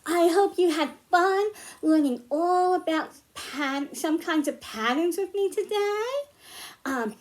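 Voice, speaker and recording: A woman's voice: extremely high pitch, expressive, slow pace. A woman presenting a science storytime for young children, explaining things in simple, instructive phrases. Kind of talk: children's science lesson, spoken to young viewers.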